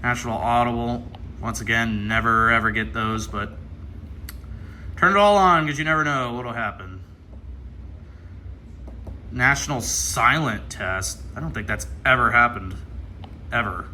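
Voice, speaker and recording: A man's voice: medium pitch, a little slow, long pauses, pushed and bright. An American man giving casual hands-on unboxing commentary, thinking aloud as he mutters through menu items. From Ohio, United States. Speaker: radio hobbyist presenting an unboxing. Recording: clear background noise.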